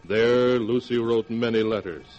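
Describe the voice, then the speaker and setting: An actor playing an ominous storyteller, narrating a vintage 1940s radio horror drama. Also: male voice, medium pitch, measured pace, a little mellow, lightly acted. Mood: portentous. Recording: dull vintage radio recording, music behind. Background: music.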